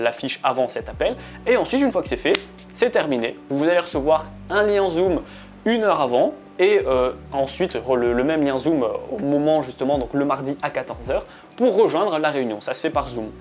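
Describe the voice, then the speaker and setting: A man's voice: medium pitch, darkish tone. Man explaining conversationally over music. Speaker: trading coach and video presenter. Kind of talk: direct-to-viewer promotional explainer.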